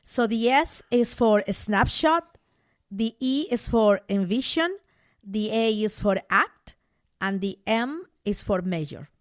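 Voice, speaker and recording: A woman's voice: medium pitch, lively intonation, slow, dry recording. Middle-aged Peruvian woman, dry sound. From Peru. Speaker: guest author. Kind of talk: podcast interview.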